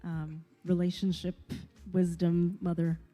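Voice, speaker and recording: A woman's voice: fairly low pitch, little pitch movement, unhurried, dark tone, faint music behind. A woman hosting a talk show, speaking encouragingly and affirmingly with repeated words. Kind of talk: interview conversation.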